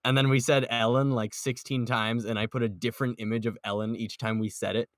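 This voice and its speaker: A man's voice: mid-range voice, flat delivery, brisk, very noisy. A man hosting a podcast in casual group chat, rambling, joking and ready to argue. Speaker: podcast host. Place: close voice chat recording.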